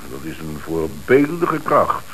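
A hissy old radio drama. a troubled bank manager with a very low voice. He speaks formally and hesitantly, as a lenient boss answering the police. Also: sing-song, measured pace, pushed and bright, heavily acted, poor audio. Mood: earnest, hesitant. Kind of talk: radio play acting.

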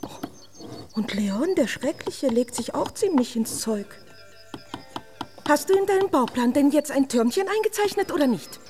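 An actress playing a vain, teasing young princess who chatters in a haughty, theatrical way in a radio drama. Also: female voice, high-pitched, expressive, moderate pace, mellow, soft background music.

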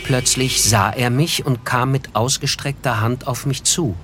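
An actor playing a disillusioned, reflective narrator, telling a literary story in a measured way in a radio drama. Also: male voice, medium pitch, steady tone, fairly bright tone, slight background noise, natural voice. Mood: detached. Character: reflective male first-person narrator, disillusioned.

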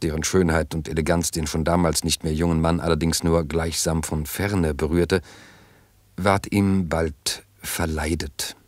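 A man narrating a scripted radio play in a measured, solemn storytelling manner with a wry edge. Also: deep voice, no room sound.

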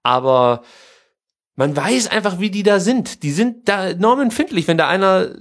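Higher-pitched man chatting casually. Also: animated, normal speed. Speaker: podcast co-host. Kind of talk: casual chat about pro wrestling.

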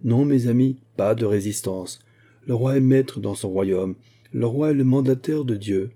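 A man voicing a shrewd, solemn bishop and former musketeer in a storybook, speaking in a measured, commanding way. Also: medium pitch, warm tone, natural voice.

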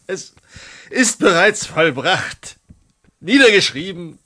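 An actor playing a tipsy, impassioned Romantic artist in a radio drama, declaiming bitter philosophy with halting dramatic pauses. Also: male voice, high-pitched, very animated, pushed and bright, exaggerated voice. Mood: fervent, tipsy. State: drunk. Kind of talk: German radio drama acting.

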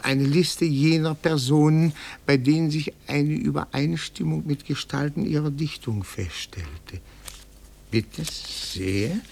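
An actor in a German radio drama playing a meticulous petty-bourgeois amateur detective, fussy, formal and elaborately polite. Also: male voice, a little high, slow pace, gravelly, a little mellow, a little hiss or hum.

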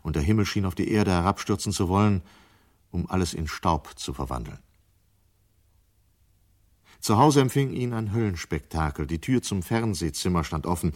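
A man with a very low-pitched voice narrates a radio play. He reads scripted literary prose as the story's narrator. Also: animated, normal speed, pauses often, a little mellow.

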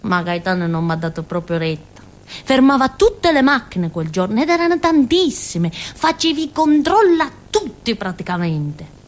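An actress performs a naive young village fool, a woman with a southern Italian accent and a high-pitched voice. She tells her tale as a stage monologue, emphatic and indignant, with very lively swings of pitch. Her colloquial talk rambles like a child's, forever checking that she is being followed. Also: moderate pace. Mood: agitated. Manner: childlike, rambling, emphatic, seeking agreement with tags. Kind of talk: theatrical monologue storytelling in colloquial speech.

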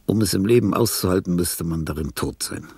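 A sick old artist in a radio drama monologue. The voice is low and badly husky, with a gravelly creak. He is morbid, fussy and brooding, speaking with theatrical weight. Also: fairly quick, darkish tone, no room sound, full character voice. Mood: weary, brooding. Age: older. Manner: theatrical, brooding, slips into whispering.

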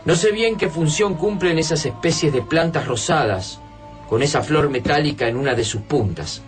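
A man's voice: on the high side, normal speed, lightly acted. A man with an Argentine accent playing a thoughtful, analytical survivor in a radio drama. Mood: focused, analytical. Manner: observant, reflective. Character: thoughtful, analytical male survivor studying invaders. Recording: distant mic, music underneath. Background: music.

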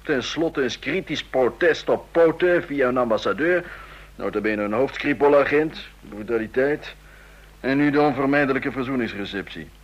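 An actor playing a roguish, envious intelligence officer in a radio play, speaking with sardonic humour in clipped phrases. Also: male voice, medium pitch, moderate pace, highs cut off, close to an everyday voice. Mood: sardonic, exasperated. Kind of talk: radio drama dialogue.

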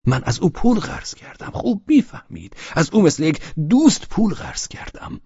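A man voicing an agitated, anxious middle-aged nobleman who swings between excited outbursts and hushed whispers. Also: on the high side, breathy edge, no echo, pitched above the performer's own voice. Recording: dry, slightly dull recording.

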